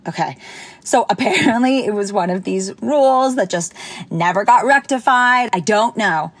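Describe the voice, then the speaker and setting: A young woman casually telling a personal anecdote, animated and chatty with lots of fillers. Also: moderate pace, dry recording. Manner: animated, jumps high when quoting people. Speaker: storyteller.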